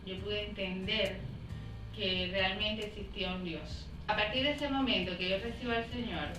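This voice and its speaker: A woman tells her conversion story in a composed, articulate way. She sounds distant in an echoey room, with music clearly playing underneath. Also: mid-range voice, normal speed. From Cuba. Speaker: psychologist giving testimony. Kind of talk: personal testimony.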